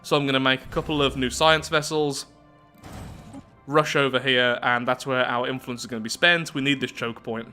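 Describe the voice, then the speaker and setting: A man's voice: mid-range voice, fairly even intonation, normal speed, pushed and bright, soft background music. A man giving casual commentary on a strategy game playthrough, thinking aloud as he goes. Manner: thinking aloud, musing to himself.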